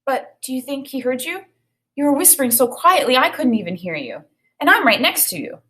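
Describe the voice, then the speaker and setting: Woman as a plaintive, high-pitched little boy. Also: big pitch swings, fairly quick, fairly bright tone. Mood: doubtful. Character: frightened, sad, neglected young boy.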